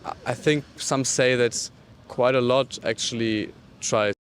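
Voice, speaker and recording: A man's voice: mid-range voice, steady tone, a little slow, a little hiss or hum. Hesitant man on the street. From Germany. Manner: hesitant, many fillers and restarts. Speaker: tourist interviewee. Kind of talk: casual street interview answers. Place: city street outdoors.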